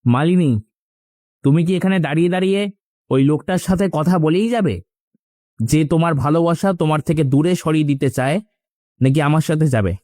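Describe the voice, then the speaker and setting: An actor playing an insistent, scheming young lover who presses and urges in a horror cartoon story. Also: male voice, on the high side, brisk, pauses often, dark tone, no echo, clean studio sound, lightly acted. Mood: urgent, insistent. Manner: urging, pressing.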